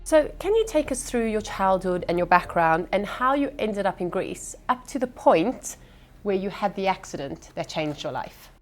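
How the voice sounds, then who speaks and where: A woman hosting an interview, asking clear, measured questions. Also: mid-range voice, expressive. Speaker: interview host.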